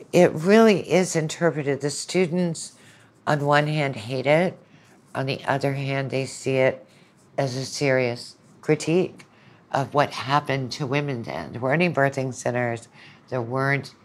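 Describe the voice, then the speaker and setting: A woman's voice: rumbling low, measured pace. Middle aged woman lecturer chatting through her ideas, opinionated, analytical, kinda like an interview guest. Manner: opinionated, analytical, quotes imagined speakers. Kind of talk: conversational explanation with anecdotes.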